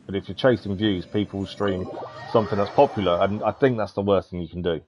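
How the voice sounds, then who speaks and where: A man's voice: deep voice, fairly even intonation, brisk, a little mellow, a little hiss or hum. A man live streaming a game, talking casually with his chat in a conversational, explanatory way. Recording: slightly dull mic over game music.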